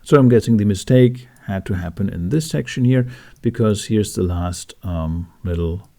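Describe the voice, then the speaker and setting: Man, low-pitched, creaky vocal fry, casual tutorial narration, informal and wry. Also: lively intonation, normal speed, warm tone. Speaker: tutorial host.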